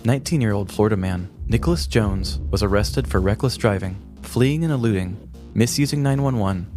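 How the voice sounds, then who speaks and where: A man's voice: on the low side, darkish tone. A man in his thirties narrating a scripted comic crime story in a mock-dramatic storytelling manner. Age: 30s. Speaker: podcast co-host and narrator. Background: music bed.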